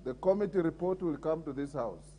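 A man's voice: a little high, animated, brisk, mellow. A middle-aged Kenyan man chairing a parliamentary debate as speaker, firmly calling members to order. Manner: firm, calling order repeatedly. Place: large parliament chamber. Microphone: desk microphone.

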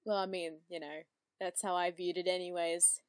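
A woman's voice: on the low side, flat delivery, moderate pace, smooth. A woman giving casual reaction commentary as a video commentator in voice-over. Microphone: voice-over with background music.

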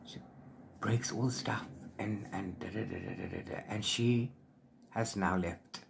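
A middle-aged man chatting casually as he recaps a book, rambling along in an animated retelling. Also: lowish voice, unhurried, gravelly, noisy background. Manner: rambling, animated retelling with filler sing-song syllables. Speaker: book reviewer vlogger. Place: small book-lined room at home.

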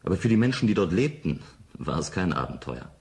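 A man's voice: low voice, very animated, brisk, darkish tone. An actor playing a cynical, scornful man in a radio drama, arguing in a dismissive manner. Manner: dismissive, argumentative.

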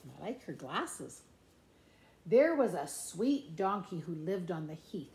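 Middle-aged female storyteller, slow and expressive picture-book delivery, playful sing-song lilt, roomy home recording. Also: on the low side, slight background noise. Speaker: grandmother reading a picture book to grandchildren. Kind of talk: animated children's storybook read-aloud with asides. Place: indoors in a room at home. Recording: echoey room.

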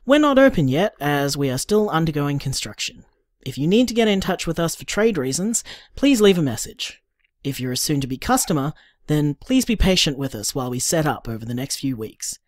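Young man, tender and upbeat, soft musing delivery, lively intonation, dry close sound, audio drama. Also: on the high side, no room sound. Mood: cheerful, welcoming. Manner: soft, musing, unhurried, affectionate.

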